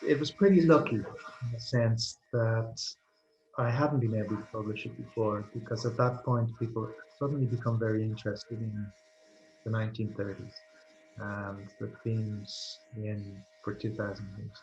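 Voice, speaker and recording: A man, a translator and writer, answering interview questions in a thoughtful, halting way, often repeating words as he searches for them. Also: on the low side, fairly even intonation, darkish tone, dull audio, slight background noise. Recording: echoey room.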